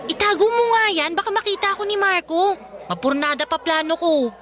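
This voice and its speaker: A sassy teenage schoolgirl with a high voice, over background music. She is curt and exasperated, in the manner of a radio romantic comedy, swatting away an admirer. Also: a little fast, a bit bright. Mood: dismissive. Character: sassy teenage schoolgirl rebuffing an unwanted suitor. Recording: background music under dialogue.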